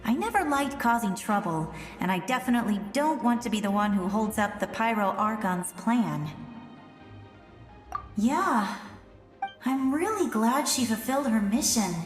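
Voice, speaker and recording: An actress voicing a caring young elder sister, a spirit doctor in a fantasy game, in a friendly, encouraging, reflective way. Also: female voice, on the high side, expressive, a little slow. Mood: wistful. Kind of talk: fantasy game cutscene acting. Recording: echoey long reverb, music underneath.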